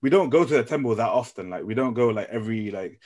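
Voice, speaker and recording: A young man from Nepal in a casual group discussion, speaking haltingly and often repeating his phrases as he works out his thought. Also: mid-range voice, animated, darkish tone. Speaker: podcast panelist.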